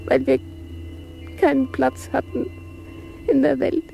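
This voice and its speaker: A ladylike, dreamy aunt of sixty in an old radio drama. Her voice is very high and creaky, and she speaks very slowly and gently. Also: fairly even intonation, faint music behind, heavily acted. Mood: wistful, resigned. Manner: halting, drawn-out, genteel. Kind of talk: 1950s German radio play acting.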